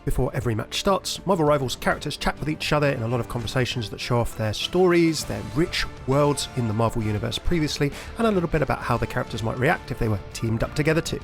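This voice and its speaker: A man's voice: medium pitch, animated, fast talker, gravelly, hardly any pauses. A man giving scripted explainer narration as a video host. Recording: music behind.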